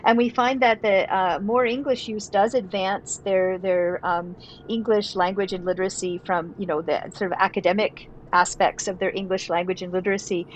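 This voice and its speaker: Academic guest: woman, mid pitch, measured explainer, light background hiss. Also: steady tone, poor audio. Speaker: professor and researcher, guest. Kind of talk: casual podcast conversation.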